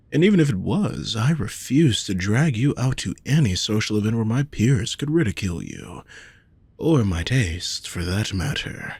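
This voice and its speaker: A man playing a possessive, lovesick wealthy nobleman in a romantic audio roleplay, speaking formally and deliberately to the listener. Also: lowish voice, a little mellow, husky, no echo, full character voice. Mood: possessive, insistent. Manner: formal, measured, deliberate phrasing.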